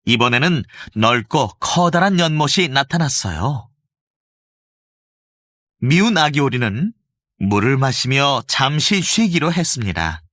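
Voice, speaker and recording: A man narrating a children's storybook with an expressive storytelling lilt. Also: mid-range voice, measured pace, no echo, clean studio sound.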